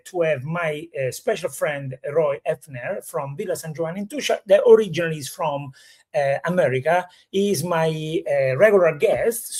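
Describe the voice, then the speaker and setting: Male Italian-accented livestream host, high-pitched, conversational and halting, non-native speaker. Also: darkish tone. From Italy. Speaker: host of a real estate livestream. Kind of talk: chatty live show presenting.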